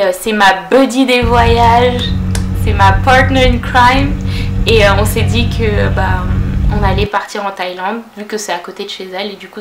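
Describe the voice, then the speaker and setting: A woman in her twenties with a Parisian French accent chats through viewer questions at home, her talk full of fillers and quick self-corrections. Her voice sits at an ordinary pitch for a woman. The room gives it a noticeable echo. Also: background noise. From France. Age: 20s. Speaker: vlogger. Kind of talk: casual Q&A vlog.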